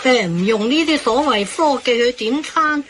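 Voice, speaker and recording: An inquisitive Hong Kong auntie. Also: female voice, high-pitched, lively intonation, normal speed. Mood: disapproving. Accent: Hong Kong Cantonese. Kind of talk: Cantonese radio drama acting. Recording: faint background noise.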